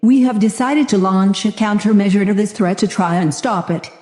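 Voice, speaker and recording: A formal female official , voiced by a synthetic-sounding woman, gives a grave scripted briefing in an audio drama. Her tone is mellow and measured, ringing with long reverb in an echoey room. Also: mid-range voice, lightly acted.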